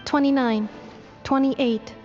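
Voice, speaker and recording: A woman's voice: high-pitched, steady tone, takes it slowly. A woman voicing a composed, literal female robot in a game, speaking in clipped, formal phrases. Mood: calm urgency. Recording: slightly muffled game audio with music.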